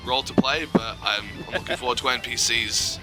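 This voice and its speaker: A man's voice: medium pitch, steady tone, a little fast, loud music behind the voice. A man who does voice acting, chatting casually as an interview guest. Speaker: interview guest, amateur voice actor.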